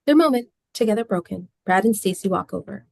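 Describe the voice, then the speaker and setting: A woman's voice: mid-range voice, animated, a little fast, creaky, a little mellow, no room sound, studio quality. A woman reading scene descriptions and stage directions as the narrator of a script.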